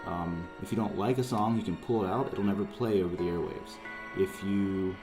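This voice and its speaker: A man's voice: low voice, normal speed, music in the background. A man presenting his own project in casual, conversational promotional narration. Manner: informal, conversational.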